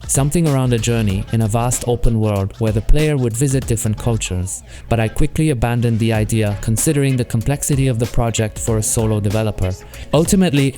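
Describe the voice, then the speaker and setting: A man with a French accent, a solo game developer narrating his monthly progress in a calm, earnest, scripted way. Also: medium pitch. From France.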